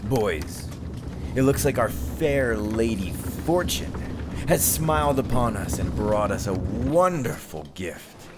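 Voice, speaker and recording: A deep-voiced, ruthless pirate captain, acted by a man in an audio roleplay. He speaks slowly and theatrically, with big swings in pitch, mock-gracious and menacing as he taunts his captive. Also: animated, noisy background. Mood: sneering, suspicious. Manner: theatrical, taunting, drawn-out delivery. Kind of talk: scripted ASMR roleplay acting.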